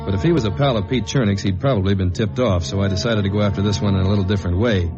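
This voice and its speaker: A steady, dry American investigator, played by a male actor in a mid-century radio mystery. His voice sits low and he tells the case plainly. The old recording is muffled, with music in it. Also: racing, close to an everyday voice. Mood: dry narration. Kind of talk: 1950s radio detective drama acting.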